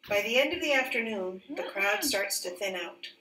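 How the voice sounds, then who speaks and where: A woman teacher reading a children's picture book aloud in a careful, expressive, clearly phrased way. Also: mid-range voice, moderate pace, a little hiss or hum. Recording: roomy echo, distant microphone.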